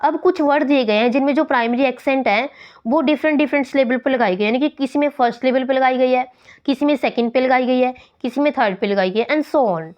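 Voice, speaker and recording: An Indian woman teaching English grammar for exam prep. She reads English text slowly and explains it in quick Hindi, switching between the two languages. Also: high voice, muffled recording.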